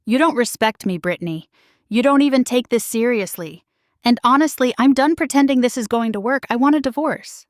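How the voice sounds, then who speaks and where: A woman voicing a calm, firm husband who is fed up, speaking in a steady, measured way. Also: mid-range voice, dry recording, studio quality, natural voice.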